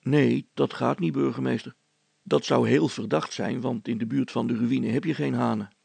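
Storybook sidekick read by a man: practical, polite fellow, hesitant objections, gravelly and husky. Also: mid-range voice, fairly even intonation, moderate pace, a little mellow, dry recording. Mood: objecting. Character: hesitant, deferential, practical man, the mayor's accomplice.

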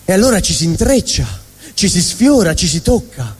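A man's voice: sits high, big pitch swings, moderate pace, breathy edge, faint music behind, full character voice. A man performing a theatrical comic stage monologue as an angry, vulgar but honest middle finger, ranting sardonically. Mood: sardonic, scornful. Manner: ranting, sardonic, swooping between mutter and shout. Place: live stage at a fundraising event. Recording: echoey hall reverb, live stage.

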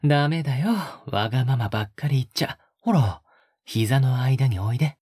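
A male actor playing a possessive young captor in an intimate audio drama, coaxing softly and often slipping into a whisper. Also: medium pitch, normal speed, dry recording, close to an everyday voice. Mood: possessive, menacing tenderness. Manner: soft, coaxing, often dropping to a breathy whisper. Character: possessive, sickly-sweet young male captor doting on a pet-like girl. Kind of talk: intimate audio drama acting, spoken to the listener.

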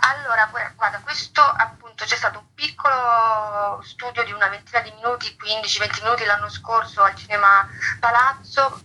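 A woman's voice: mid-range voice, sharp tone. A woman, an actress, speaking informally in a radio interview over the phone, often checking in with a short question tag. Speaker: stage actress being interviewed. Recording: noisy phone line.

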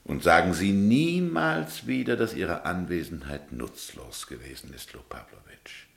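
An actor playing an old, dignified, melancholy governor, kindly yet authoritative, speaking in formal, deliberate radio drama style. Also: male voice, low-pitched, animated, gravelly, echoey room, heavily acted. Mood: firm, kindly. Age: older. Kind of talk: German radio play acting.

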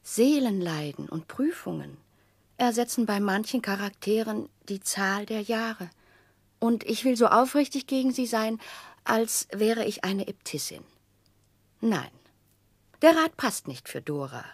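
An actress playing a solemn, sentimental young lady confidante in a 1960s German radio drama, speaking in a measured, high-flown and breathy way. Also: expressive, no room sound. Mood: solemn, earnest.

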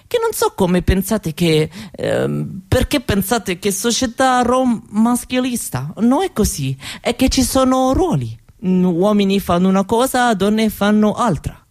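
A woman's voice: medium pitch, expressive, unhurried, hardly any pauses, a little mellow. An actress playing a proud Roma mother from Romania in a conversational stage monologue, with an Eastern European accent and broken grammar. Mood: proud, chatty. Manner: broken foreign-speaker grammar, conversational, rhetorical tags. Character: proud, cheerful Roma woman from Romania, mother, chatting hospitably. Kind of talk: theatrical monologue drawn from interviews.

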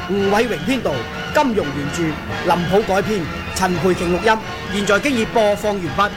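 A man with a Hong Kong Cantonese accent formally reading radio drama credits in a measured way. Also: high-pitched. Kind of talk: scripted credits announcement. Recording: radio announcement over loud music.

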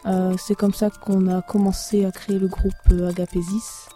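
Hesitant Belgian woman, dark voice. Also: mid-range voice, flat delivery, soft background music. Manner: halting, many fillers. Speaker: singer and lyricist of a duo. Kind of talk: hesitant interview answers. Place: backstage after a concert.

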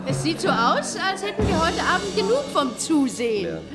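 Vivacious, high-voiced hostess. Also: female voice, sing-song, moderate pace, full character voice. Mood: excited, inviting. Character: vivacious society hostess announcing party entertainments. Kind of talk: German science fiction radio play. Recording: radio drama, loud party crowd and music.

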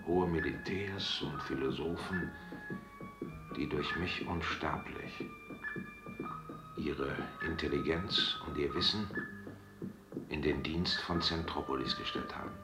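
An actor playing a grandiose, condescending leader of a dystopian technocratic state in a science-fiction radio drama. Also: male voice, extremely low pitch, huge pitch swings, slow pace, pauses often, full character voice. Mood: grandiose, lecturing. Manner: pompous, condescending, lecturing. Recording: echoey radio drama with music underneath.

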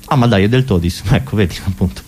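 A man's voice: on the low side, fast talker, darkish tone, a little hiss or hum. A man performing a conversational, ironic comic monologue for radio theatre, musing philosophically and talking to imagined listeners.

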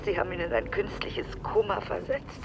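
Casting: shaken, exhausted woman, breathy with vocal fry, radio drama, over clear noise. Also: on the low side, very animated, moderate pace. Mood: distraught. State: distressed, breathy. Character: shaken, worn-out wife of an injured man. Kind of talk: German radio drama acting.

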